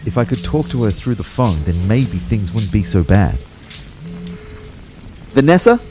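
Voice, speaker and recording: An anxious young man telling his own ghostly story in an audio drama. His low, mellow voice swings widely between narration and urgent pleading, with music underneath. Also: a little slow. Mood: desperate pleading. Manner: expressive, shifting from hushed narration to urgent pleading. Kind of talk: first-person audio drama narration with acted dialogue.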